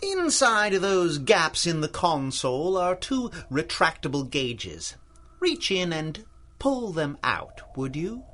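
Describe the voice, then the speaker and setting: A man's voice: on the high side, sing-song, takes it slowly, a bit bright, slight background noise, full character voice. A man playing an obsessed older scientist in a radio drama, formal and patronizing in manner, courteous yet ruthless. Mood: intense, lecturing. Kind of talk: 1930s-style radio drama acting.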